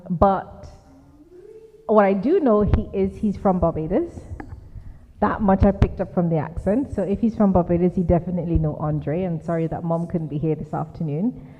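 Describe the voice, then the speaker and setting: Woman welcoming guests in an echoing church. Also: on the low side. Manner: informal, hesitant with fillers, then a soft prayer. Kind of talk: welcome speech and prayer. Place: church hall. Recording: lo-fi phone-like sound in a reverberant church hall.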